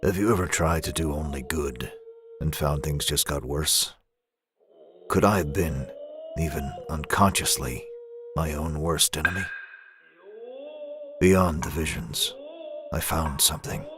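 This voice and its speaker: Man acting a very deep, brooding vigilante. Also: sing-song, crowd noise, full character voice. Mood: guarded, probing. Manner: brooding, grave, deliberate. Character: grim, brooding middle-aged male masked vigilante recounting his ordeal. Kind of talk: motion comic voice acting. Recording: loud music under the voice.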